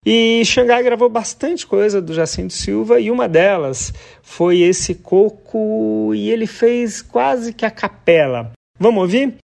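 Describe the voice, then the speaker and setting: A man presenting songs on a radio music show, chatting in a relaxed way with small asides. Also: sits high, unhurried, creaky.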